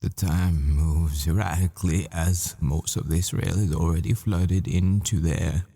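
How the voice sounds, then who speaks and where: A man playing a troubled boyfriend, a professor and assassin, in a halting, stammering audio roleplay. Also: low voice, steady tone, creaky, dark tone, husky, exaggerated voice. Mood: desperate pleading. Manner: halting, stammering, repeats himself. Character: desperate boyfriend, dazed math professor and assassin. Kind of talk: dramatic audio roleplay acting.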